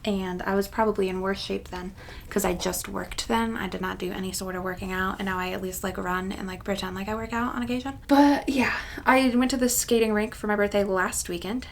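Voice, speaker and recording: A woman's voice: medium pitch, steady tone, fairly quick, creaky, slight background noise. A woman of about thirty-one talking casually to her viewers in a rambling, self-deprecating way. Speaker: craft channel vlogger. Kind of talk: casual chatty vlog to camera.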